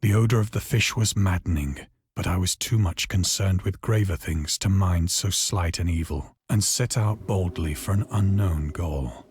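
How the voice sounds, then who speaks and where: An actor playing a grave, haunted stranded man recounting a cosmic horror ordeal in formal, measured narration for a game. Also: sits low, darkish tone, breathy edge, dry recording. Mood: brooding. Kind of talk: scripted dramatic first-person horror narration. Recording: voice over prominent background music.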